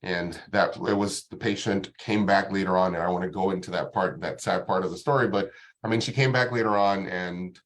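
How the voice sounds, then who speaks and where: A man, a doctor giving a relaxed, informal talk to an online audience with many ums and restarts. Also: low voice, brisk. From Dominican Republic. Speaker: guest doctor presenting.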